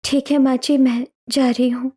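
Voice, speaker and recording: Meek young daughter-in-law, high voice, woman acting. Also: little pitch movement, normal speed, dark tone, lightly acted. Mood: timid, submissive. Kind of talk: Hindi family cartoon story acting.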